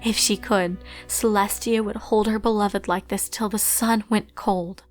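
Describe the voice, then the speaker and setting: A woman reading a story aloud in an expressive, scripted narration style. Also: mid-range voice, a little slow, soft background music. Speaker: fan fiction narrator.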